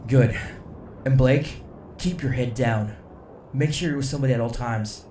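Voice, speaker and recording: An actor playing a cautious senior commander in a sci-fi audio drama, measured and authoritative. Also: male voice, medium pitch, lightly acted. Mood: protective. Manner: measured, firm. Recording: echoey room, clear ambient noise.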